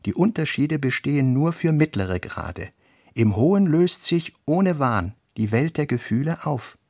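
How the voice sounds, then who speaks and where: A man reading literary notes for a radio play in a measured, sober manner. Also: fairly high pitch, gravelly, darkish tone, no room sound, clean studio sound.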